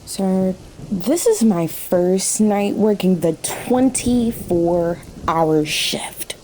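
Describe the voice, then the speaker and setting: A woman's voice: medium pitch, animated, very slow, dark tone, a little hiss or hum, low-quality recording. A woman playing a weary, sassy night-shift waitress in a radio play, wry and talkative. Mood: exasperated. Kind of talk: naturalistic radio play acting. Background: rain and thunderstorm ambience in a diner scene.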